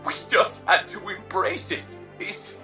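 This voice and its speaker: An actress playing an awestruck, fervent visionary in a sci-fi game, speaking with reverence. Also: female voice, medium pitch, slow, pushed and bright, faint music behind. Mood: fervent wonder. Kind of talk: video game voice acting.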